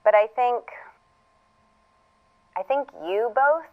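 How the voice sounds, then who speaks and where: An actress playing a weary, regretful but resolute woman in an audio drama, speaking a halting, reflective confession. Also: fairly high pitch, narrow, tinny audio. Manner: halting, reflective, with sighs and pauses.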